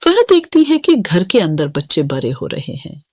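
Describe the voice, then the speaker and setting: A woman's voice: on the low side, huge pitch swings, moderate pace, darkish tone, husky, no room sound, studio quality. A woman narrating a scripted children's story.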